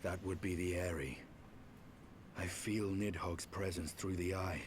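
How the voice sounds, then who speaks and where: A grave dragon-hunting knight from a fantasy video game. His voice is fairly low and he speaks very slowly, in solemn, archaic phrases. A faint noise sits behind him. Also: fairly even intonation. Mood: grave, brooding. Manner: solemn, formal, measured.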